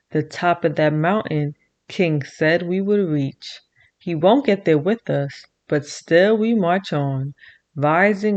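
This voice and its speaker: Slow, low-voiced woman reading to kids. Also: highs cut off. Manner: slow rhythmic rhyming read-aloud; bright sing-song teacher voice for kids. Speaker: children's show host. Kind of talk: reading a picture book aloud to kids.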